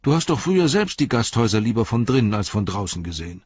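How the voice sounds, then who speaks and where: A man's voice: lowish voice, big pitch swings, quick pace, darkish tone, breathy edge, dry recording, highs cut off, voice pushed up. A man voicing a blunt, plucky young male hobbit who talks in a cheeky, scolding way.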